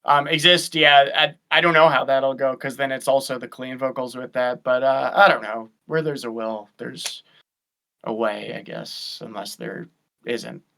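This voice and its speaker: A man's voice: mid-range voice, fairly even intonation, moderate pace, gravelly, pushed and bright. A man guesting on a casual chat, thinking aloud with many restarts and fillers. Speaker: guest vocalist. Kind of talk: casual chat over a stream.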